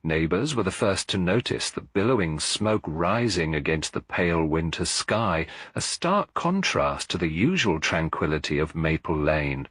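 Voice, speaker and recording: A man narrating a scripted mystery story in a measured, literary storytelling manner. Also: deep voice, lively intonation, a bit bright, slightly rough, dry recording, clean studio sound. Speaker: audiobook narrator.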